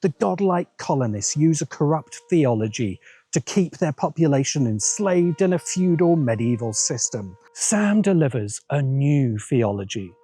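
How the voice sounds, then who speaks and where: A man hosting and narrating a scripted video essay in a measured way, with wry asides. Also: fairly high pitch, mellow, breathy edge. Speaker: host.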